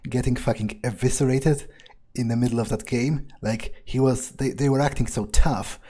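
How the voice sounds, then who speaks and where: A man's voice: medium pitch, steady tone, moderate pace, dark tone, husky, close to an everyday voice. A man playing a cocky, crude vampire who relishes violence, with a hesitant, swearing drawl, in a roleplayed video game. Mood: gloating.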